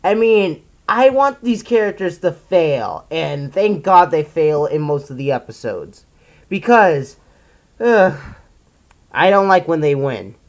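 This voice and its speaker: A person giving casual, opinionated commentary as a video reviewer, in an exasperated, emphatic ranting manner with drawn-out stressed words. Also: medium pitch, sing-song. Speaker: video reviewer presenting a countdown list.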